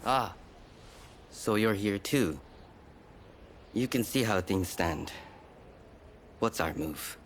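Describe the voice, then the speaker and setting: An actor playing a cool, wry, calmly confident swordsman in a video game cutscene. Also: on the low side, takes it slowly, pauses often, a little hiss or hum.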